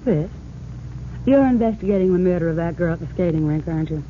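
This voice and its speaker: A woman's voice: fairly low pitch, lively intonation, quick pace, lightly acted. An actress playing a guarded, blunt young woman with a tip in an old-time radio crime drama. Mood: guarded, insistent. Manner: cool, blunt, knowing. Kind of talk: 1940s radio acting. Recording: tinny, hissy old radio recording.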